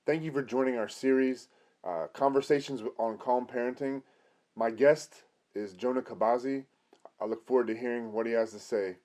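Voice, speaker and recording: A middle-aged man, a pastor, hosting an informal conversational interview in a halting way. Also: medium pitch, steady tone, a little mellow. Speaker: host, pastor.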